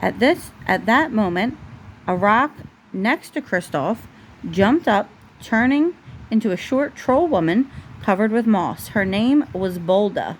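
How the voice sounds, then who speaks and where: A woman's voice: medium pitch, expressive, a little slow, low-quality recording. A woman reading a children's storybook aloud at home, as a parent would at bedtime. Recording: faint background noise, home recording.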